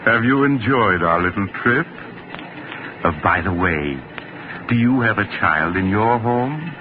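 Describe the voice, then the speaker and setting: An actor playing a sly, sinister train traveler who hosts a 1940s radio mystery show with genial, insinuating menace. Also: male voice, fairly low pitch, animated, a little slow. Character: sly, sinister male train traveler telling macabre tales. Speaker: host of mystery anthology. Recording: noisy, muffled 1940s radio recording.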